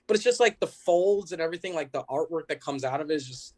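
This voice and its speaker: A man's voice: fairly high pitch, animated, fast talker. An American man from Chicago, a band musician chatting casually as a podcast guest, rambling along with plenty of "like" and "you know". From Chicago, United States.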